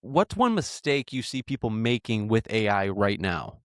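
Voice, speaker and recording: A man's voice: fairly low pitch, sing-song, normal speed, no echo, studio quality. A man in his twenties hosting a podcast, talking casually and hesitantly, often restarting his sentences. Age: 20s. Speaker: podcast host.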